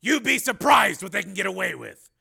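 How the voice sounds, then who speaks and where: A man voicing an angry, commanding renegade police lieutenant in an audiobook, with forceful, clipped, demanding delivery. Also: high voice, big pitch swings, fairly quick, vocal fry, breathy edge, voice pushed up, full character voice. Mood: grim, firm. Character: defiant male cyborg police lieutenant on the run, commanding, angry.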